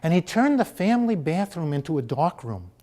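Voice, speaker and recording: A middle-aged American man, a children's book author, speaking in an interview in an unhurried, thoughtful way, with many fillers. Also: a little high, very animated, dark tone. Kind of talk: interview conversation about his book.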